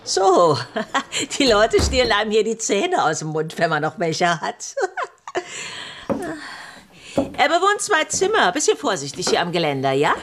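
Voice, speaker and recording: A woman's voice: medium pitch, huge pitch swings, unhurried, a bit bright, slight background noise. An actress playing a gossipy, wary old landlady in a radio play, chatty and mocking. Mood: chatty, sly.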